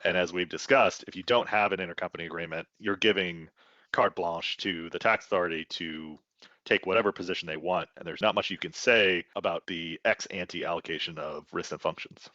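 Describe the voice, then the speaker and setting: A man giving conversational expert commentary as a tax advisor on a business podcast, explaining in a measured way. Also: deep voice, bright, forceful tone, no room sound, muffled recording.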